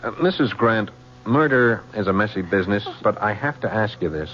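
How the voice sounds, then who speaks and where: A man's voice: lowish voice, lively intonation, natural voice. A man playing a dogged homicide lieutenant. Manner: halting, apologetic, full of uh's. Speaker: police lieutenant. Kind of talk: 1970s radio drama acting. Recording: dull old radio recording with faint hiss.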